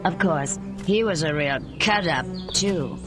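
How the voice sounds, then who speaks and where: A woman's voice: sits low, a little slow. An actress playing a sardonic, masked female government agent in a video game, over music. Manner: sardonic, taunting. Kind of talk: video game cutscene acting. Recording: game audio with music behind.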